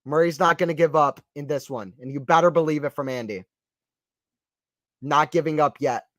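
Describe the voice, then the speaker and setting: A man with a slight Southern American accent calls a tennis match live for a stream audience, chatting casually between points. His voice sits high and is recorded dry and close, with little room sound. It rises sharply when a rally heats up. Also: normal speed, fairly bright tone, dry recording. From Atlanta, Georgia, US. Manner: casual, chatty, answers chat questions. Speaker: play-by-play stream commentator. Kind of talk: live play-by-play commentary on a watchalong stream.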